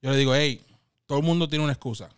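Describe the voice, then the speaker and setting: A man in his thirties from the Dominican Republic with a Dominican accent, telling stories as a guest in a casual interview, animated and quick to laugh. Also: mid-range voice, smooth. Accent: Dominican Spanish. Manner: animated, laughs often, quotes others in lively voices.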